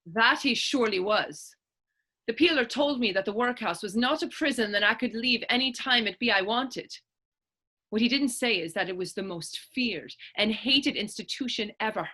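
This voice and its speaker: A woman acts out a plucky Irish teenage orphan on the stage. Her voice is fairly high and bright, with a forceful edge. She spins her tale proudly in lilting, old-fashioned Irish phrasing. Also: normal speed. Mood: indignant storytelling. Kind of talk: stage readers theatre acting in dialect.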